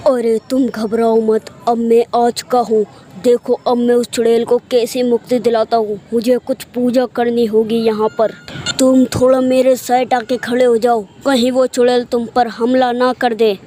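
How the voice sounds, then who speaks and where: A woman's voice: a little high, level tone, normal speed, mellow, slight background noise, lightly acted. A woman voicing a bold male exorcist who gives orders and chants incantations. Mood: commanding. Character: male exorcist holy man, bold and commanding.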